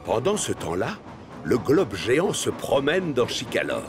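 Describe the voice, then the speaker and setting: A man narrating a children's cartoon story from a script, as an expressive storyteller. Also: mid-range voice, unhurried. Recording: music behind the voice.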